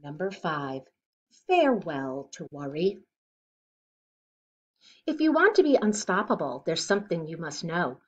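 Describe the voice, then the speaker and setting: A middle-aged woman with a slightly low voice reads a rhyming picture book aloud to children. Her delivery is deliberate and expressive, like a teacher at story time. The sound is a little dull and lo-fi, recorded in a living room. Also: husky. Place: living room at home, indoors.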